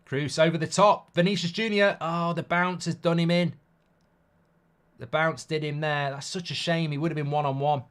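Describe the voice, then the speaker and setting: A British man with a slightly croaky, bunged-up voice hosts a live football watchalong, mixing casual commentary with blunt, jokey replies to the chat. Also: on the high side, fairly even intonation, moderate pace, a bit bright.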